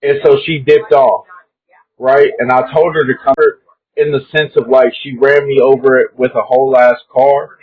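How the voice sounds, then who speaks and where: Husky young man on a tinny call. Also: medium pitch, flat delivery, moderate pace. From Kentucky. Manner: emphatic, repeats himself, says "bro" often. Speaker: guest caller. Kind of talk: earnest casual chat. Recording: tinny phone-like call audio.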